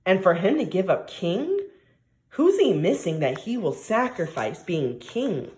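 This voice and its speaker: Expressive woman teacher reading slowly to children. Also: fairly low pitch. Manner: expressive, questioning, coaxing. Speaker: primary school teacher. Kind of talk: read-aloud lesson for young children.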